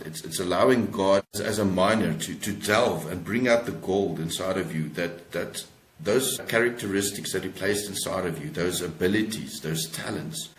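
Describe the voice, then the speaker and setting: Deep-voiced South African man, echoey room. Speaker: podcast guest, author. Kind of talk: conversational interview, exhorting. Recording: echoey, roomy sound.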